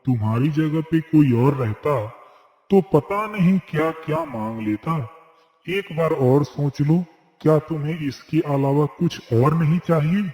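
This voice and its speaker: A man's voice: a little high, expressive, measured pace, dark tone. A man voicing a kindly, wise magical tree in a cartoon moral story, speaking in a solemn, grand manner. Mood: kindly, reassuring. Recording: long cavernous reverb.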